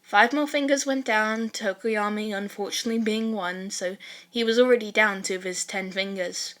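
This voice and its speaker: A woman's voice: mid-range voice, fairly even intonation, moderate pace, a bit bright. A woman reading a fan fiction story aloud as scripted narration.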